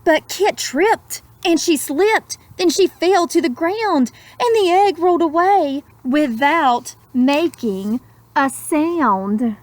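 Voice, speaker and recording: High-voiced woman reading slowly to children. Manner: expressive, dramatic. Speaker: storybook reader. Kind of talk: children's read-aloud with simple explanatory asides.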